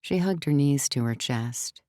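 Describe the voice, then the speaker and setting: A woman's voice: extremely low pitch, lively intonation, unhurried, warm tone, no echo, studio quality. A woman reading scripted third-person fiction narration as an audiobook narrator.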